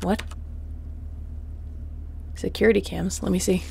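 A woman playing a tough, wry, protective space mercenary captain in a sci-fi audio roleplay, terse and commanding, often hushed. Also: mid-range voice, fairly even intonation, measured pace, vocal fry, slight background noise. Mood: wry, resigned.

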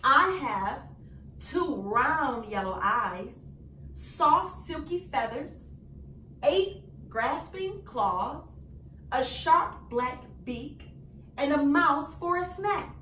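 Very slow, high-pitched woman reading to children. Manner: animated, sing-song, deliberate phrasing. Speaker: children's storyteller. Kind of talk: picture book read-aloud for young children. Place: small indoor room. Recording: roomy, distant mic, faint noise.

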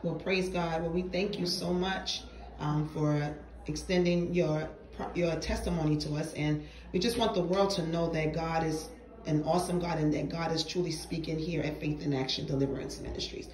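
A woman acting as a church interviewer, speaking in a measured, formal, devout way from off camera. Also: deep voice, steady tone, a little mellow. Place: indoor room, off camera. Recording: echoey room.

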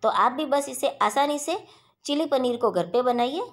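A woman hosting a home cooking channel, talking viewers through a recipe step by step in a steady instructional voice-over. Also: high voice, moderate pace.

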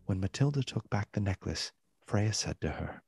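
A man narrating a literary radio play in a solemn, measured, dramatic way, with a husky voice. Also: lowish voice, animated, a little mellow. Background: music.

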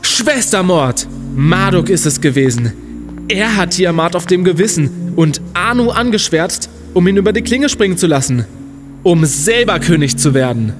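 An actor playing a clever, confident young student sleuth in a comic radio play, explaining things in a deliberate, declamatory way. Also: male voice, sits high, lively intonation, over music. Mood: triumphant. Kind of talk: German comedic audio drama acting.

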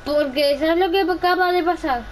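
A woman's voice: very high voice, normal speed. A female gamer in casual game chatter, often putting questions to a playing partner. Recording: clearly noisy home gaming recording.

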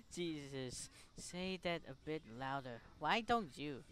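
A man hosting a gaming video, reading game text aloud and commenting in short, halting reactions. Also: a little high, big pitch swings, a bit bright, a little hiss or hum.

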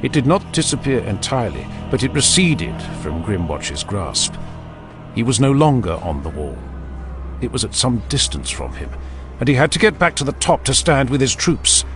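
A man giving scripted, dramatic narration of a fantasy audiobook with grave, deliberate phrasing. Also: medium pitch, big pitch swings, a bit bright, faint music behind.

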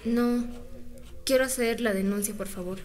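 A woman's voice: a little high, fairly even intonation, normal speed, darkish tone, natural voice. A woman acting a frightened, hesitant teenage girl who sounds pleading in a radio drama. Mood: desperate pleading. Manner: pleading, unsure.

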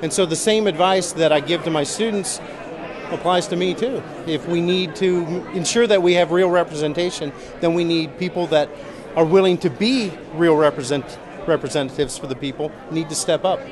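A man in his forties from Missouri, a political candidate, answering interview questions earnestly with many restarts and uhs in a large convention hall. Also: a little high. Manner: earnest, halting with repeated words and uhs. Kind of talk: on-the-spot interview. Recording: busy crowd noise behind. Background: crowd chatter.

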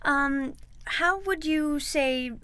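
A woman co-hosting a podcast, asking a guest soft questions. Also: high-pitched, drawn-out words. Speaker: podcast co-host. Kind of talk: interviewing.

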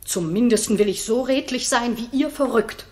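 A woman's voice: on the high side, normal speed, darkish tone, lightly acted. An actress playing an outspoken, indignant lady-in-waiting in a bold, declamatory 1960s radio drama style. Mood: defiant. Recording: roomy 1960s radio studio recording.

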